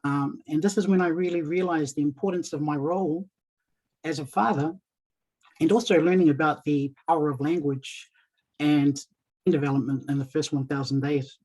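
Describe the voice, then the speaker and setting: A man in his thirties with a New Zealand accent, a community coach giving a warm, soft-spoken webinar talk over a video call. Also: a little high, fairly even intonation, moderate pace, low-quality recording. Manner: soft-spoken, warm, with Samoan and Māori greetings. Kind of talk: presenting in a webinar.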